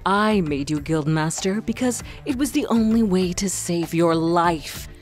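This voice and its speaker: A woman playing a weary, cautious, pragmatic adviser in a fantasy audio drama, speaking in a measured, insistent way. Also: fairly low pitch, faint music behind, natural voice. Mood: exasperated, insistent.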